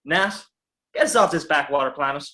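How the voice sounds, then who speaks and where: A man playing a brash, cocky spaceship captain in a sci-fi audio drama, barking brisk orders. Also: fairly high pitch, pushed and bright. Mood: commanding, cocky.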